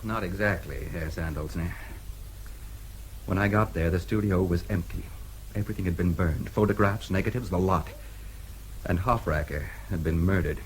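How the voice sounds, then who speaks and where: A man's voice: deep voice, moderate pace, slight background noise, natural voice, low-quality recording. An actor playing a cool, daring American newspaperman in a radio drama, with an American accent and a laconic, matter-of-fact way of talking. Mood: tense, urgent.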